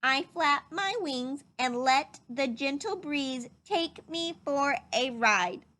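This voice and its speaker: Storybook baby robin, done by a woman: high-pitched, deliberate, timid yet determined, lilting. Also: animated, sharp tone, exaggerated voice. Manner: deliberate, drawn-out recitation. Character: tiny nervous young robin gathering courage. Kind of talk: read-aloud children's storybook.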